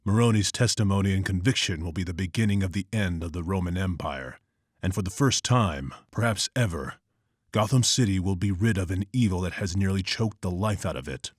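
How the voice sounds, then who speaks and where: A man voicing a grim, brooding masked vigilante in terse, measured, somber dramatic narration. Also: no echo, clean studio sound. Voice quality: deep, husky.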